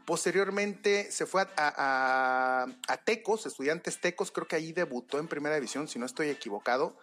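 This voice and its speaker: A man's voice: on the high side, normal speed, fairly bright tone. Chatty Mexican man hosting sports talk. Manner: hesitant, many fillers and restarts. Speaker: show host. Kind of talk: casual sports news chat.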